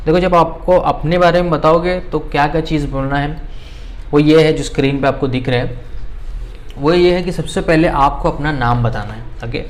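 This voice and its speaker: A man teaching spoken English in a casual lesson, dropping "okay" often and slowing right down to say English example phrases. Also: fairly high pitch, slight background noise. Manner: conversational, repeats "okay" and slows down to spell out English phrases.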